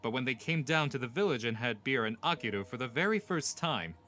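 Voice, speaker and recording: A man's voice: medium pitch, expressive, fairly quick, sharp tone, faint music behind. A man playing a proud, imperious young king in a comic dub, with a pompous, lofty manner. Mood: amused storytelling. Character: proud, boastful young king of ancient times, imperious but amused. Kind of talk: scripted comic dub acting.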